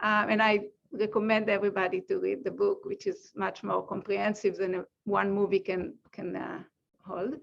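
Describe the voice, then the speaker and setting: A woman moderating an online panel over a video call, speaking in a measured way with frequent ums. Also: medium pitch, little pitch movement, creaky, a little hiss or hum. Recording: dull lo-fi call audio.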